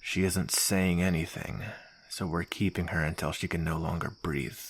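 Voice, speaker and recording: A man playing a hardened, resigned mercenary with a bitter, gravelly delivery in an ASMR roleplay drama. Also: deep voice, fairly even intonation. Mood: grudging, menacing. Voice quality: gravelly, husky. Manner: bitter, grim, deliberate. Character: hardened, resigned male mercenary, former comrade.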